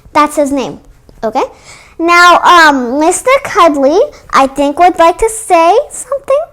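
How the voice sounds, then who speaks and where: Female read-aloud host for children's storytime, notably high voice, storytelling mixed with informal asides. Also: animated, measured pace, bright, forceful tone.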